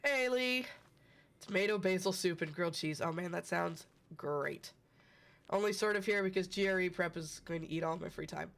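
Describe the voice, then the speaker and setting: A man's voice: sits high, normal speed, creaky, fairly bright tone. A man with a cold and a stuffy voice, hosting a casual live stream and chatting with viewers by name. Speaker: livestream host.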